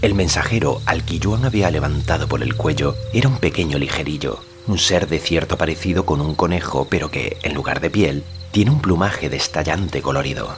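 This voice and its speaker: An extremely low male voice reads literary fiction aloud. He tells the story in a measured, solemn way, with music clearly playing underneath. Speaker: audiobook narrator. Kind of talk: scripted literary narration of a fantasy novel. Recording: music behind the voice. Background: background music.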